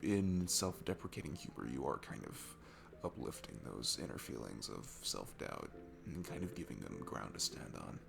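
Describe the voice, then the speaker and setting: A man's voice: rumbling low, very animated, moderate pace, vocal fry, over music, exaggerated voice. A young man playing a shy, nervous, sweet guy at a bar in an ASMR roleplay, talking in a hesitant, rambling, self-deprecating way. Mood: sheepish. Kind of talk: ASMR roleplay, one-sided intimate conversation.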